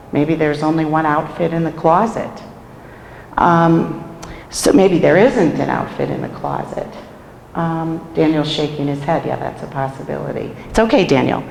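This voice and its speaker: A hoarse woman with a cold, a nursing home administrator, giving a chatty staff training and asking the room questions. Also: on the low side, a little hiss or hum. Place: meeting room in a care home. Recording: echoey room with long reverb.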